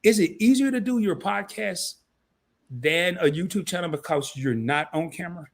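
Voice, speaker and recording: A lively man hosts a casual live stream, talking in loose slang and laughing at himself. His voice sits a bit high for a man and sounds a little scratchy. The sound comes through a home streaming setup. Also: moderate pace, vocal fry. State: scratchy voice tonight. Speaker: host.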